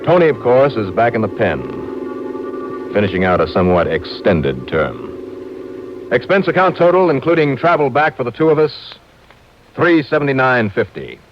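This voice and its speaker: A dull old radio recording with music behind carries a man's performance of an easygoing American male investigator. He tells his case like an expense report, wry and clipped. His intonation is lively and varied. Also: mid-range voice, moderate pace, natural voice. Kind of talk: 1960s radio detective drama, first-person narration and dialogue.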